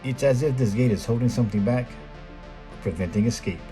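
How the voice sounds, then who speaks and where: A middle-aged American man reading a scripted voice-over in a measured, plain explanatory way, as the host of a photography video. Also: mid-range voice, mellow, rough recording. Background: background music.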